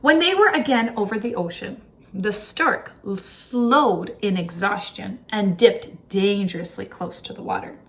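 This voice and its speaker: A woman reading a children's story aloud in an expressive, dramatic storytelling manner, like a teacher to a class. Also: mid-range voice, unhurried. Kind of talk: expressive children's picture book read-aloud.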